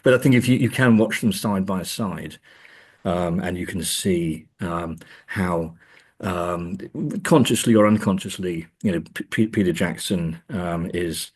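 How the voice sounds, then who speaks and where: Deep-voiced, husky academic man. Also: measured pace. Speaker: academic interview guest. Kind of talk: conversational literary and film discussion.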